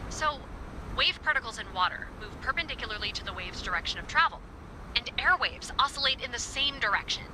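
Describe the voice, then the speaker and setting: Anxious high-voiced researcher over noisy radio. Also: female voice. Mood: puzzled, amazed. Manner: halting, thinking aloud. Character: anxious, science-minded woman researcher on night duty. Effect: walkie-talkie radio. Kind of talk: naturalistic video game acting.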